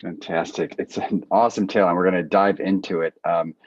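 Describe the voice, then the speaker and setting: A man hosting a panel discussion over a video call, speaking haltingly and with appreciation for his guests. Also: deep voice, steady tone, no echo, highs cut off. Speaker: host and moderator of a panel.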